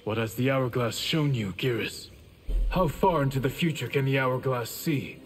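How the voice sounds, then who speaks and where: An actor playing a proud, defiant warrior in a fighting game, with a husky, gravelly voice and curt delivery. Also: male voice, mid-range voice, moderate pace, big-room sound, soft background music, lightly acted. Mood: grim. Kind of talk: fighting game character dialogue.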